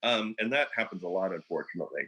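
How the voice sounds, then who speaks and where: A man's voice: deep voice, expressive, fast, sharp tone, poor audio. Easygoing fifties meteorologist, man, explains plainly with everyday comparisons. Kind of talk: panel talk explaining forecasts.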